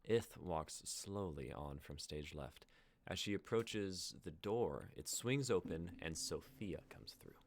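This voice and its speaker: A man's voice: low voice, very animated, takes it slowly, creaky. A young man reading stage directions as scripted narration. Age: young adult.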